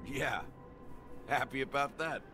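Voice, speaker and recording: A man's voice: medium pitch, steady tone, measured pace, bright, forceful tone, faint music behind. An actor playing a sly, cocky male schemer in a game, talking with teasing, theatrical swagger. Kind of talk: dramatic game voice acting.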